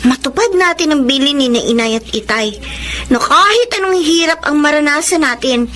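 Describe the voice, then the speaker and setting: Very high-pitched girlish Filipino little sister. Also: expressive, normal speed, sharp tone. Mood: sincere, tender. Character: cheerful, selfless teenage little sister, a student. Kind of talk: Filipino radio drama acting.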